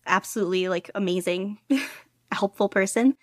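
Enthusiastic woman guest, chatty, giggly, mid pitch, dry close sound. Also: fairly even intonation, normal speed, dry recording. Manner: gushing, lots of "like" and laughter. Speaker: guest podficcer. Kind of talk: casual interview chat.

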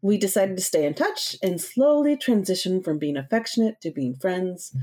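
A woman's voice: on the low side, mellow. A young woman co-hosting a casual podcast, easygoing and a bit hesitant, reading out a listener letter. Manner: easygoing, hesitant, backtracks while telling. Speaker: podcast co-host.

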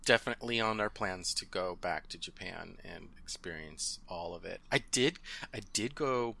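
Gravelly, bright-toned man in his thirties. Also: low voice, moderate pace. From Southern California. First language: Spanish. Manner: hesitant, many fillers, laughs often. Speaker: podcast guest. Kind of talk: casual interview chat.

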